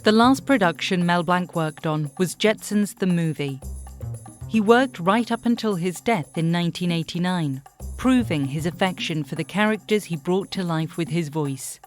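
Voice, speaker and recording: A woman with a fairly low voice narrates a scripted documentary. She speaks as the host of the programme, with faint music behind her. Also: normal speed.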